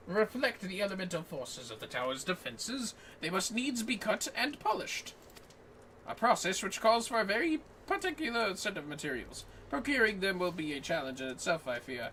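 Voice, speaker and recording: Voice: mid-range voice, big pitch swings, normal speed, pushed and bright, slight background noise, low-quality recording. A streamer voicing a courteous, formal scholarly man from a video game, with theatrical old-fashioned diction. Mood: earnest. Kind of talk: video game dialogue read aloud in character.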